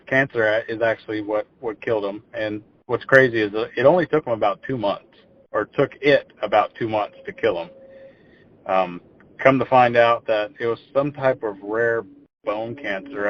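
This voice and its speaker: A man's voice: mid-range voice, a little hiss or hum. Young texas man chatting on a podcast, repeats himself starting sentences, kinda muffled. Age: 20s. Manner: rambling, repeats words when starting phrases. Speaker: podcast guest. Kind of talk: casual interview storytelling. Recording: dull lo-fi audio.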